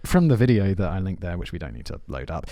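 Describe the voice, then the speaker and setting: A man's voice: sits low, big pitch swings, quick pace, warm tone, no room sound, studio quality. A man hosting a podcast, chatting casually and rambling, often saying "dude". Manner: rambling, spells things out, says dude often. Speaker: podcast host. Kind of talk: casual chat.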